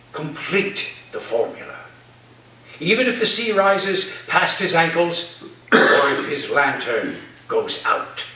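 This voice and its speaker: A man playing a whimsical narrator in a staged play reading, speaking in deliberate, separated phrases. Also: a little high, pushed and bright, heavily acted. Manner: deliberate, pausing between phrases. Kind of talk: theatrical dramatic monologue. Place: community center stage. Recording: echoey hall, distant mic, background noise.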